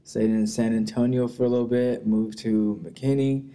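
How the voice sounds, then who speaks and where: A man's voice: medium pitch, steady tone, moderate pace, dark tone, clean tone. A young man from Texas, a musician guest on a podcast, talking in a laid-back, rambling way with lots of fillers. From Texas, USA. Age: young adult. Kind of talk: casual conversation.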